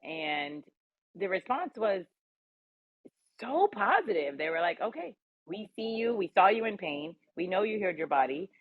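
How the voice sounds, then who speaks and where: A woman's voice: medium pitch, big pitch swings, moderate pace. An American woman telling personal stories candidly, with an assertive, emphatic and colloquial way of talking. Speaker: round table guest, cannabis advocate. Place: remote audio chatroom call. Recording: roomy, tinny phone-like audio.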